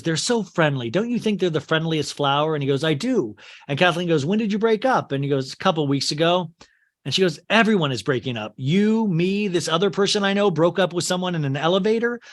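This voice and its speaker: A man's voice: a little high, lively intonation, a little fast. A man hosting a podcast with a strained, worn voice, recapping a film scene by scene and acting out its lines in put-on voices. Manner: acts out quotes in shifting put-on voices. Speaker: podcast host.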